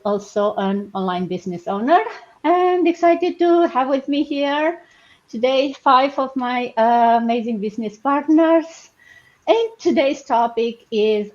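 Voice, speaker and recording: A woman's voice: medium pitch, darkish tone. A woman hosting a live online session over a video call, chatty, with fillers and restarts. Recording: muffled lo-fi video call audio.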